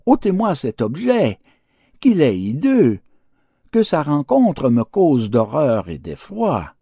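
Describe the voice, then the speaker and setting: A man's voice: a little high, huge pitch swings, takes it slowly, creaky, mellow, husky, no echo, pitched above the performer's own voice, exaggerated voice. A man voicing a wretched fellow in a fable, pleading with death in a melodramatic, exclamatory way. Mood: horrified panic. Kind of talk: theatrical fable reading.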